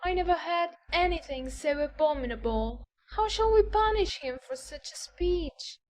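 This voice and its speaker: A woman's voice: very high-pitched, animated, normal speed, a little mellow. A woman playing an affected, haughty, flirtatious Regency society lady in a dramatized audiobook, with a theatrical, genteel manner. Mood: affected shock. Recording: music bed under the voice.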